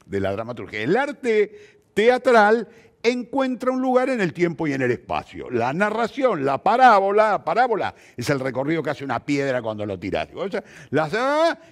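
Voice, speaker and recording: An older Argentine man with a Rioplatense accent speaks in a slightly high register, his pitch swinging widely. He explains ideas as if teaching, emphatic and thoughtful, posing questions and answering them himself. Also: huge pitch swings, moderate pace. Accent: Rioplatense Argentine Spanish. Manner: didactic, emphatic, rhetorical questions. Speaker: interview guest, theatre practitioner. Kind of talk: reflective explanatory conversation.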